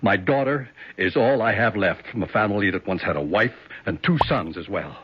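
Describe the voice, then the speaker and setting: A man's voice: lowish voice, moderate pace, noisy background, natural voice. An actor playing a stern, self-righteous older congressman and father in a radio drama. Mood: grave. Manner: commanding, blustering. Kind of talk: 1970s radio drama acting. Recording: dull old radio broadcast.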